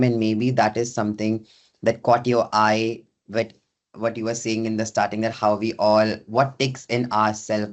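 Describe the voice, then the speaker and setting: Man, podcast host, friendly, chatty, conversational. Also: fairly low pitch. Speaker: podcast host, associate dean. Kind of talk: casual interview chat.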